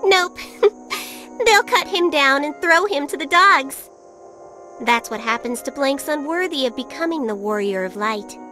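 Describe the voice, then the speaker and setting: A woman's voice: high voice, animated, a little slow, sharp tone, faint music behind, full character voice. A woman voicing a small, cheeky female fairy in a video game, blunt, flippant and teasing. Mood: callous, flippant. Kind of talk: video game cutscene acting.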